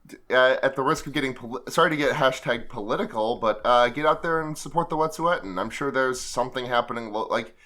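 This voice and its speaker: A man hosts a casual podcast in a bright, forceful voice that is hoarse from strain. He jokes at his own expense and swears easily, with an animated delivery. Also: mid-range voice, quick pace. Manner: animated, joking, swearing, self-deprecating. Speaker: podcast host. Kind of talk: casual comedic podcast chat.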